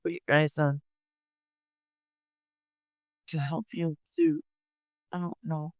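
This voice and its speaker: A woman's voice: deep voice, sing-song, takes it slowly, darkish tone, no room sound. A woman in her fifties giving personal recollections as an interviewee, hesitant and often repeating her words.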